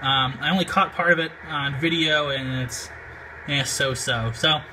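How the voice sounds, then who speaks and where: A man's voice: on the high side, steady tone, a little slow. An American man talking casually and a little hesitantly as an amateur radio vlogger, on a handheld camera indoors. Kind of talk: casual vlog talk. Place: small apartment room, indoors. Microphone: handheld camera mic. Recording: clear background noise, handheld camera.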